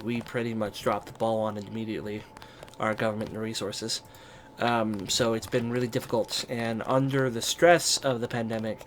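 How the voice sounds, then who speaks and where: A man from the United States with a stuffy, congested voice, casually talking through a video game as host and rambling with many pauses and ums. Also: on the low side, a little hiss or hum. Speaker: let's play host. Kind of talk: casual gameplay commentary.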